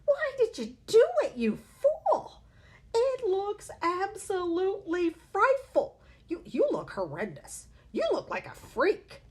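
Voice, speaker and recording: A woman voicing a loud, scornful mother who shrieks at her husband in a storybook reading. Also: very high voice, wildly swooping pitch, slow, voice pushed up, full character voice. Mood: shrieking. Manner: shrieking, stammering with outrage. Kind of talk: read-aloud storybook acting.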